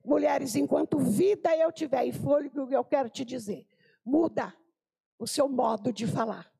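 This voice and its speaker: A woman's voice: high voice, normal speed, vocal fry, breathy edge. An older Brazilian woman giving an informal sermon to women, telling anecdotes and acting out the people she quotes. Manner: animated, acts out quoted voices, exclaims. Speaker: preacher at a women's gathering.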